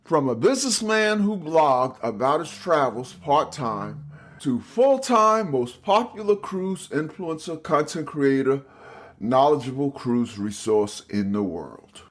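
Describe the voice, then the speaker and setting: Male interview host, expressive and upbeat, chatty with fillers. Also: a little high, takes it slowly. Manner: enthusiastic, scripted intro then hesitant with fillers. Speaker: podcast host. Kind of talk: interview podcast.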